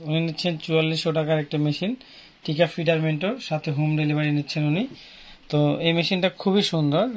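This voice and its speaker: A man with a Bangladeshi accent presents products informally in a fairly high voice. He explains as a seller does, often repeating a phrase. It is a handheld phone recording in a small shop, with light background noise. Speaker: fishing tackle seller. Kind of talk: informal product showcase for customers. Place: small indoor fishing tackle shop. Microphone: handheld phone near the speaker. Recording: noisy phone recording with clicks.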